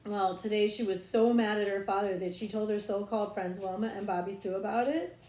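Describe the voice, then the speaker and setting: A woman's voice: mid-range voice, a little fast, dark tone, lightly acted. Woman reading as an earnest, knowing schoolgirl, roomy sound. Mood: confiding. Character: earnest, knowing young schoolgirl who relishes secrets. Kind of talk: audiobook character voice.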